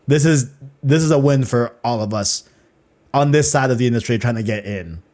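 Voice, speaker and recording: A man's voice: mid-range voice, normal speed, darkish tone. A man hosting a casual podcast, rambling and often repeating "you know what I'm saying.". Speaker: podcast host.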